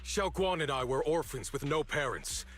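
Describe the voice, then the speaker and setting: A man's voice: a little high, expressive, moderate pace, fairly bright tone. An actor playing a bitter, resolute young man, an orphaned former disciple, in a dramatic video game with sardonic, accusing delivery. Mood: bitter accusation. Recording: studio voice over background music. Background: music.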